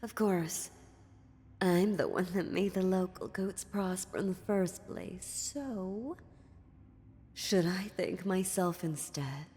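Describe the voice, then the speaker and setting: A woman's voice: lowish voice, mellow. A woman playing an ancient, dominant demon in a fantasy audio roleplay, mocking and menacing, with a condescending, theatrical delivery. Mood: smug satisfaction. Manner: slow, condescending, sardonic, theatrical. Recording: long reverberant hall, music underneath.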